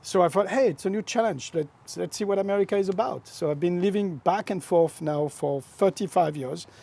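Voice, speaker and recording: A middle-aged man with a French accent speaks as an interview guest, expansive and philosophical. His voice sits a touch high and sounds husky. He presses his points with emphasis. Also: a little fast, dark tone. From France. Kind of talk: casual interview chat.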